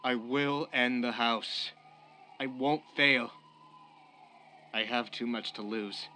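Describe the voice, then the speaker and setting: A man's voice: medium pitch, steady tone, slow, sharp tone, a little hiss or hum. A man playing a desperate, protective fugitive in a radio drama, speaking with earnest, pleading intensity. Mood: resolute. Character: desperate young man on the run, protective and determined.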